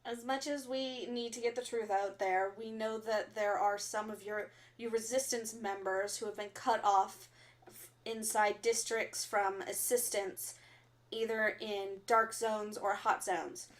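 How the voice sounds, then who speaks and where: A woman playing an earnest, stern resistance fighter who delivers solemn, deliberate warnings in a radio drama broadcast. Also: medium pitch, fairly even intonation, natural voice. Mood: stern, instructive. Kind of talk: audio drama acting as underground radio broadcast.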